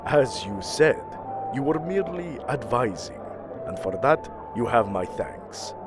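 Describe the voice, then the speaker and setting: A man's voice: mid-range voice, big pitch swings, measured pace, over loud music. A man playing a proud, commanding king of the gods in an audio drama, with a regal, formal and imperious manner. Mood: cold, threatening.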